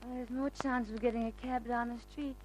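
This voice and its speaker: A woman's voice: on the high side, steady tone, fairly quick, slight background noise, lightly acted, rough recording. Woman acting coy, flirty radio crime dame. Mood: tipsy, flirty. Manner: coy, teasing. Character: flirty, suspicious, tipsy underworld dame. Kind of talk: old-time radio crime drama acting. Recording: old radio recording with music.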